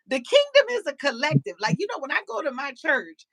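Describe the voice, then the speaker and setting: A woman hosting a casual panel talk show, animated and preachy, often repeating words as she starts a sentence. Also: high-pitched, fairly quick, gravelly, a crowd in the background, low-quality recording.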